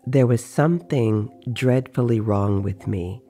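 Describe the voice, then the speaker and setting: A man reading a dramatized story in a measured, deliberate narration. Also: lowish voice, warm tone, soft background music. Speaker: narrator reading book excerpts.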